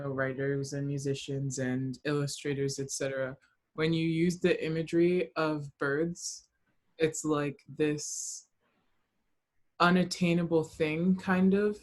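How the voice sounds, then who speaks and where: A woman's voice: sits low, steady tone, a little slow. A woman taking part in a book discussion, speaking in a casual, reflective way and relaying comments.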